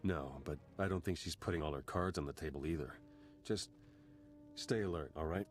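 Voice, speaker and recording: Deep-voiced, wry hacker-spy in a game, dry humor, quick to the point. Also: male voice, faint music behind. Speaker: spy and slicer. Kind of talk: video game cutscene acting.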